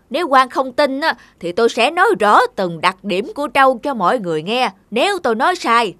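A man's voice: very high-pitched, pushed and bright, no room sound, heavily acted. A man playing a sly, blustering middle-aged buffalo thief in a cartoon folk tale. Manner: brazen, boastful, argumentative.

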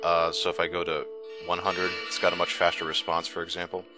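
Male screencast reviewer, bass-register voice, informal and enthusiastic demo delivery over prominent background music. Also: monotone, fairly quick. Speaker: software reviewer. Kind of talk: casual screencast demo narration. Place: indoors, voice-over on a screen recording. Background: music playing behind the voice.

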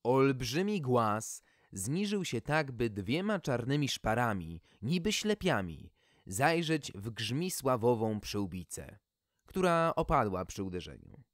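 A man's voice: mid-range voice, big pitch swings, slow pace, no echo, studio quality. A man reading a humorous fairy tale as podcast host, in a theatrical, folksy storytelling manner.

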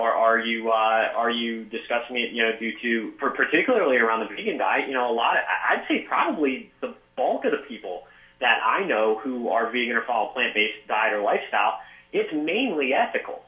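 Fast-talking man on a tinny phone line. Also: medium pitch, echoey room. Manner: fast, halting with many fillers and restarts. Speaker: fitness author and guest. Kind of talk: casual interview chat.

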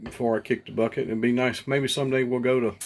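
Guy joking around off camera, talks quick, middle pitch. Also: steady tone, slight background noise. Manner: plainspoken, joking, double negatives. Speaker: husband off camera. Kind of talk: casual banter.

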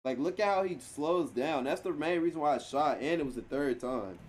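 A man's voice: a little high, expressive, normal speed. A man streaming a role-play game, talking casually in heavy slang with plenty of swearing. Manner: profane, slangy.

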